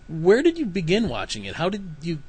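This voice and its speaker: A man's voice: high-pitched, huge pitch swings, brisk, slightly rough. Easygoing male podcast host. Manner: easygoing, laughs often. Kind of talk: casual podcast interview.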